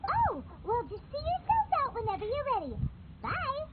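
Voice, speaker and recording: Feisty little game fairy: extremely high, squeaky, animated delivery, phone-like sound. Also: female voice, moderate pace, heavy background noise, full character voice. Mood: cheeky. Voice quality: squeaky, childlike. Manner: refers to self in third person, blustering. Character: small childlike floating fairy companion, feisty and cheeky. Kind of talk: video game voice acting. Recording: muffled phone-like sound under loud music.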